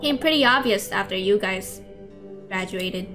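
A woman's voice: mid-range voice, lively intonation, a little slow, a bit bright. A young woman livestreaming, casually reading visual novel dialogue aloud with stumbles, rereads and offhand asides. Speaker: game streamer. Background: game music.

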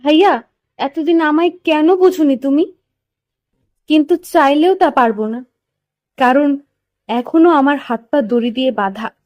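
A woman's voice: sits high, a little slow, pauses often, a little mellow, no room sound. A woman playing a timid, flustered young heroine in a romantic audio story, with a hesitant, stammering delivery. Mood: tearful longing.

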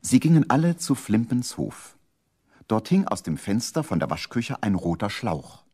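A man's voice: fairly low pitch, sing-song, moderate pace, mellow, husky. A man narrating a children's radio play as a scripted story.

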